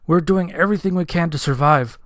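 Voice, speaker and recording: A man's voice: on the high side, a little fast, no echo, pitched above the performer's own voice, natural voice. Exasperated young boyfriend, voiced by a man, dry sound. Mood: exasperated, desperate. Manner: exasperated, earnest. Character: stressed, exasperated young boyfriend, overworked and pleading. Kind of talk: dramatized audio story dialogue.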